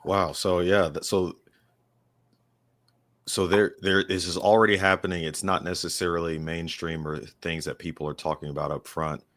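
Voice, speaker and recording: A man's voice: low voice, flat delivery, normal speed, fairly bright tone. A man hosting a podcast interview, asking long, searching questions in a casual, thoughtful way with frequent restarts. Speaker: podcast host.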